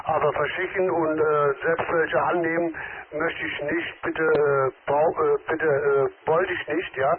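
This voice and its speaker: A man's voice: fairly high pitch, level tone, a little slow. A man chatting on an amateur radio contact, polite and hesitant, filling his sentences with many filler sounds. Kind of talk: casual radio contact chat. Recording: tinny radio transmission with crowd behind.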